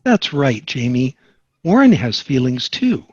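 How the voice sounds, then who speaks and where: A man's voice: mid-range voice, very animated, a little slow, darkish tone, slightly rough, highs cut off. A man voicing a well-meaning, easily miffed nerdy sitcom dad in an amateur table read. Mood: gently scolding.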